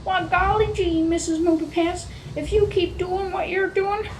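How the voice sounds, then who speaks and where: A woman acts a nervous, folksy male lackey for a radio play. The voice is very high and slow, tripping over words, with faint noise behind it. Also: fairly even intonation, low-quality recording. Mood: timid pleading. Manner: stammering, folksy. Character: flustered, folksy male underling named Peter, secretly resentful.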